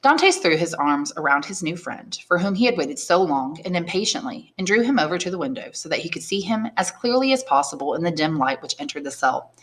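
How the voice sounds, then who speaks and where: Woman teacher reading an old novel out loud, lowish voice, expressive storytelling. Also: brisk, few pauses. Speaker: English teacher reading aloud. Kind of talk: expressive audiobook narration with brief explanations.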